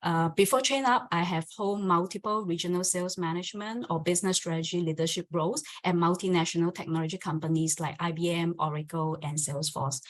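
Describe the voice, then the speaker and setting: A woman's voice: fairly low pitch, steady tone, brisk, few pauses. A woman giving a formal, prepared self-introduction as a general manager on an online panel.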